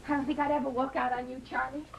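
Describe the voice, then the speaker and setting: High-voiced, anxious, affectionate wife. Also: female voice, flat delivery, fast talker, creaky, a little hiss or hum. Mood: needy, affectionate. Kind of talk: old-time radio drama acting. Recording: vintage radio recording with faint music.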